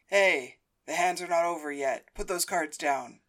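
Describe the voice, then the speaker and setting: A woman voicing a stubborn, easily riled man in an audiobook story, arguing loudly over a card game. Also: on the low side, measured pace, natural voice. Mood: defensive, loud. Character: hot-headed, stubborn male poker player, easily riled.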